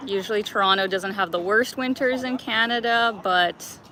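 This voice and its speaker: A woman's voice: mid-range voice, steady tone, normal speed, sharp tone, a little hiss or hum, poor audio. A young woman hosting a travel live stream outdoors in a city park, chatty and laughing often as she greets viewers by name.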